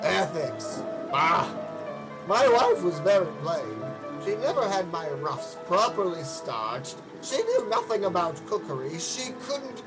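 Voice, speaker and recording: A man's voice: high voice, sing-song, slow pace, loud music behind the voice, heavily acted, rough recording. A man playing a proud, mournful, centuries-old aristocratic ghost in a radio play, with a pompous, fussy, theatrical delivery. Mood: indignant, pompous.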